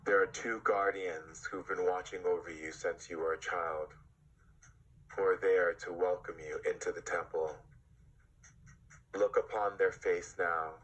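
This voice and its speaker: Very slow, low husky man guiding meditation. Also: steady tone, a bit bright, poor audio. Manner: hypnotic, directive, long pauses. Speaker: guided meditation leader. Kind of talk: guided meditation, slow spoken instructions.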